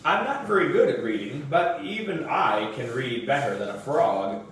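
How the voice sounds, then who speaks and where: A man reading a children's story aloud in an expressive, animated and deliberate style. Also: mid-range voice. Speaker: book reader. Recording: very echoey room, distant mic, faint noise.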